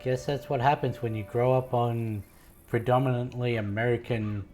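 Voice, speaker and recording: A man's voice: medium pitch, steady tone, normal speed, a little mellow. A young man with a light Australian accent casually commenting as he plays a game on stream, musing aloud to chat. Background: game music.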